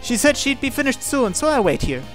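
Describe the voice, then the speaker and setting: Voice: high-pitched, very animated, fairly quick. A person reading visual novel text aloud for a let's play, acting out the dialogue expressively. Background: game music.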